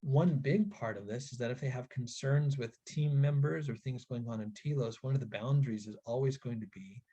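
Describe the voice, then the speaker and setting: A man giving an instructional webinar talk to parents in an earnest, deliberate way, stressing key phrases. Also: mid-range voice, dark tone, slightly rough. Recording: dull, lo-fi webinar audio.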